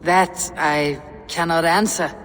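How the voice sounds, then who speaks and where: A woman's voice: low-pitched, fairly even intonation, slow pace, fairly bright tone, slight background noise. An actress playing a blunt, loyal Viking warrior woman in a game cutscene, terse and sardonic, with a wary edge. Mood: earnest. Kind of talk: video game cutscene dialogue.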